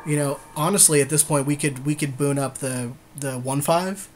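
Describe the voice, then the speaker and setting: A man's voice: on the high side, steady tone, normal speed, a little hiss or hum. A man co-hosting a gaming podcast, discussing card game moves in a casual, informal and agreeable way.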